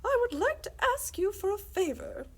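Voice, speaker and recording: A woman's voice: very high-pitched, sing-song, moderate pace, voice pushed up. A woman voicing a haughty, pompous Queen of England from a children's storybook. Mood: grand, formal. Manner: pompous, commanding, formal.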